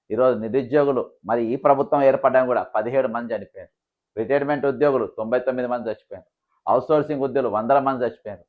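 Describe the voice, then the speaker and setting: The sound is narrow and thin, like a phone. A man with a middle-pitched voice and a Telangana Telugu accent speaks as an activist host. He gives political commentary straight to viewers, setting out complaints critically, one after another. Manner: critical, listing grievances point by point. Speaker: political activist hosting his own channel.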